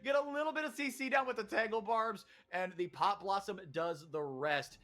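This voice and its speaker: A Brazilian-born man casting esports play-by-play from a broadcast booth, excitable and quick to shout through big plays. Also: high-pitched. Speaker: play-by-play caster.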